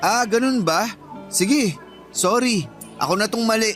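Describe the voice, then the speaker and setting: A wounded young Filipino bagger in a radio drama. His voice is notably high for a man, and he presses his friend with insistent, accusing questions. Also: unhurried, a bit bright, soft background music. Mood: wounded, resigned. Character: hurt, confrontational young male supermarket bagger, close friend.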